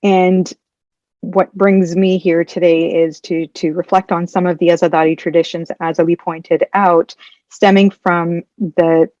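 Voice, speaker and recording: A young woman whose first language is Punjabi, answering interview questions in a thoughtful, measured, slightly hesitant way. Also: fairly low pitch, darkish tone, no room sound. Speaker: guest, PhD candidate. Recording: dull, dry lo-fi sound.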